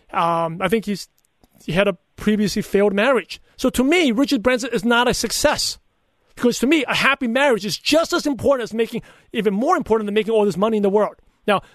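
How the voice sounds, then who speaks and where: Podcast guest: high-voiced male, Brooklyn-Chinese accent with a lisp, earnest anecdotal delivery, very dry recording. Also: dry recording. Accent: Brooklyn mixed with Chinese. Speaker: podcaster and coach, interview guest. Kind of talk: conversational interview answers with personal anecdotes.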